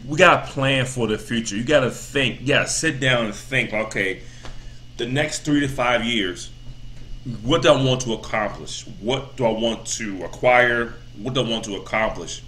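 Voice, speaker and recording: Man, 30s, vlogger, rambling casual talk, frequent fillers, bright tone, faint background ambience. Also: medium pitch, moderate pace, a little hiss or hum. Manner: rambling, repeats himself, many "you know" fillers. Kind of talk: casual motivational talk to camera.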